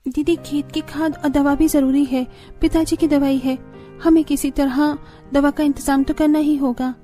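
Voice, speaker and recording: A woman plays a dutiful young daughter-in-law in a moral-story cartoon. Her voice is high yet mellow, earnest and respectful toward her elders, with some music behind it. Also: level tone, normal speed, close to an everyday voice. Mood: concerned, earnest.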